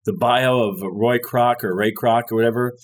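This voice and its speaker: A man's voice: mid-range voice, fairly even intonation, normal speed. A man telling a long story in casual conversation, rambling and stopping to search for words. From born in France, grew up overseas. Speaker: guest telling stories.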